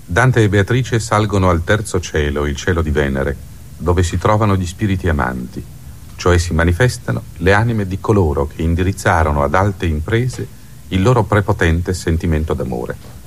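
A man reading scripted literary narration in a measured, expository way. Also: low-pitched, expressive, slight background noise.

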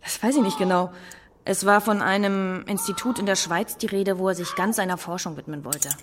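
Woman acting brisk nurse in reverberant, noisy hall. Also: mid-range voice, natural voice. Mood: matter-of-fact. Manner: businesslike, reserved. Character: brisk, guarded hospital nurse on a busy surgical ward. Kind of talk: German radio drama acting. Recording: long reverb, loud background noise.

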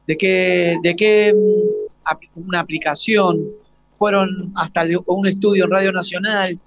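A man's voice: sits high, steady tone, a little slow. An Argentine man hosting an informal live radio interview, asking hesitant questions with repeated starts. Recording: slightly dull, lo-fi live broadcast.

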